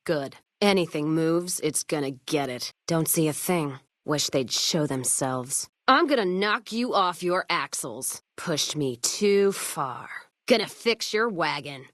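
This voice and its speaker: A woman's voice: sits low, lively intonation, slow, a bit bright, no room sound, clean studio sound, heavily acted. An actress playing a tough, hard-drinking frontier caravan woman in a video game, with an American accent and a folksy, sardonic, foul-mouthed way of talking. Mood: menacing. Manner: folksy, sardonic, drawling slang. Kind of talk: video game character voice lines.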